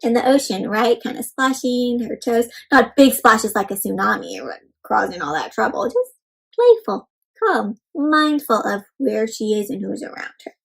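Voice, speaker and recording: A woman hosting an interactive picture-book read-aloud for young children, expressive and speaking directly to her listeners with questions. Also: fairly high pitch, moderate pace, vocal fry, husky.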